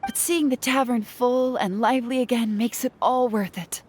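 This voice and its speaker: A woman's voice: fairly high pitch, steady tone, moderate pace, a little mellow, a little hiss or hum, close to an everyday voice. An actress playing a friendly, hard-working tavern woman in an English fantasy game dub, easygoing and casual. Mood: weary, contented.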